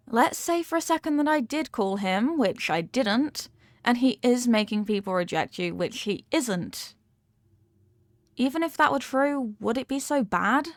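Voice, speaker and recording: A woman's voice: on the high side, no echo, clean studio sound. A woman acting a possessive, manipulative rich young girlfriend in an intimate audio roleplay, talking down in a coaxing, teasing way with veiled threats. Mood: smug, coaxing.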